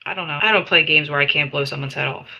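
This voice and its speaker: A woman's voice: sits low, quick pace, gravelly, bright, forceful tone, dull audio. A woman playing in a tabletop roleplay game, chatting informally and swearing freely. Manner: sweary, informal, hesitant restarts. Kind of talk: casual in-character roleplay chat.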